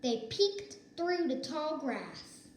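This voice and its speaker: High-voiced woman reading slowly to children. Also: very animated. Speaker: storybook reader. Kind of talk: children's read-aloud narration. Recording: echoey room with faint noise.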